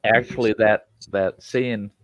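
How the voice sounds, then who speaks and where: A man with a lowish voice joking and telling a story on a casual livestream. He sounds close and dry, with no room echo. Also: steady tone, slow pace, muffled recording. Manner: jokey, storytelling.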